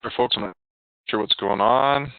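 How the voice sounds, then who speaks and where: A man giving a casual live software demonstration, chatty and informal, muttering to himself as he works. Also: medium pitch, normal speed, dry recording. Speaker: software demo presenter. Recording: narrow, phone-like line, dry.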